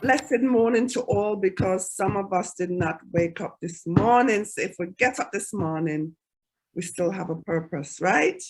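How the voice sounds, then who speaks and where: Woman radio host, mid-pitched, effusive, gives blessings and shout-outs. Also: measured pace, rough recording. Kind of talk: lively show introduction.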